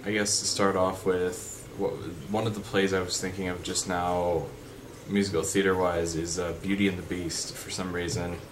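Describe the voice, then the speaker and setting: A young man with a deep voice chatting unscripted in a vlog, rambling with fillers and restarts. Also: level tone, moderate pace, hardly any pauses. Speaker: vlogger. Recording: background noise.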